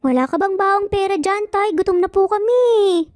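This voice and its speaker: A woman's voice: very high-pitched, normal speed, darkish tone, no room sound, studio quality, soft background music, voice pushed up. A woman voicing cheeky young boys in a dramatized story, with a playful, pleading, childlike manner. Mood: whiny, cheeky. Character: cheeky young triplet boys teasing their parents.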